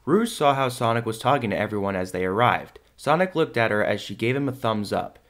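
A man's voice: on the low side, animated, brisk, natural voice. A man reading scripted third-person narration for a fan audio drama. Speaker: narrator and lead actor. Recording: music under the voice.

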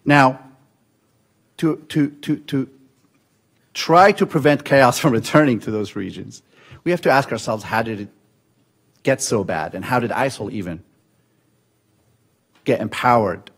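A man in his forties from Syria, giving a measured policy talk at a conference podium, with frequent fillers and restarts. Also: mid-range voice, breathy edge. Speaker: conference panelist. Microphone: podium microphone.

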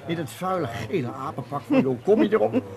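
An actor playing a grumbling, reluctant police detective in a radio drama, wry and halting. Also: male voice, high-pitched, very animated, darkish tone. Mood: exasperated.

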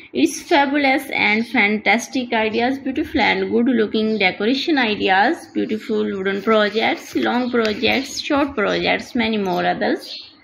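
A woman talks over a slideshow of her channel in plain, non-native English, piling up eager, repeated words of praise. Her voice has a gravelly fry in it. A faint hiss of noise sits under the recording. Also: on the high side, rough recording. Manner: repetitive, enthusiastic promotional phrasing. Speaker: channel host.

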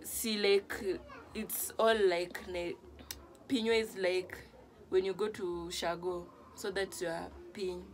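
A young woman, a Luo speaker, chatting casually in brief, halting interjections, sitting a little back from the camera. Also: fairly low pitch, steady tone. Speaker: co-presenter helping with translations. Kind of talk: casual chat. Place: small room at home, on a couch. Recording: noisy room, set back from camera mic.

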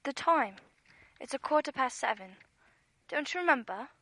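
A woman's voice: high voice, expressive, moderate pace, fairly bright tone, dry recording. A woman acting an eerie, coaxing, insistent caller in a radio play. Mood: insistent, needling.

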